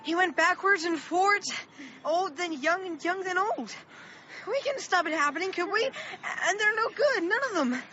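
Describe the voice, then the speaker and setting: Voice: very high-pitched, expressive, normal speed, bright, forceful tone, exaggerated voice. A performer playing an earnest, anxious thirteen-year-old small-town boy, urgent in manner, in a radio drama. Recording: muffled, noisy radio play recording.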